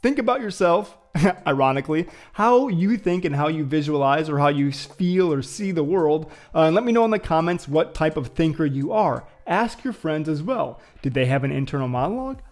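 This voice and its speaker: Musing man with soft music behind. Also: fairly high pitch, expressive, brisk. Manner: musing, thinking aloud with many fillers. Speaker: vlogger host. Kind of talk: casual reflective vlog talk.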